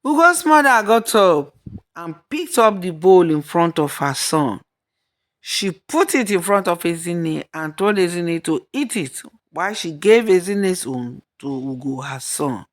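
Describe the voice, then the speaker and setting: Female storyteller for folktale narration, reading at a markedly slow, measured pace. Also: fairly low pitch, lively intonation.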